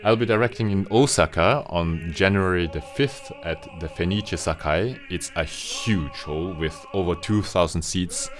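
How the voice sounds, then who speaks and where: A low-voiced man from Austria tells the story of his own work as an opera director. He speaks earnestly and appreciatively, in a calm vlog narration with faint music underneath. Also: a little slow, hardly any pauses.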